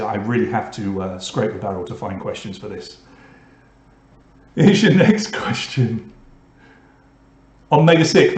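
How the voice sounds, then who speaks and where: A man's voice: mid-range voice, darkish tone, roomy sound. A man from England hosting an online pub quiz, reading out questions and scores with joking asides to the players. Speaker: quiz host.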